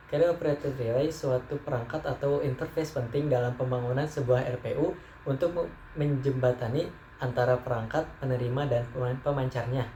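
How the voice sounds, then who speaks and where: A man's voice: medium pitch, steady tone, fairly quick, warm tone. Young man reading a class presentation. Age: young adult. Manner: reads steadily, stumbling on some words. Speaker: student presenter. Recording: echoey room, faint background noise.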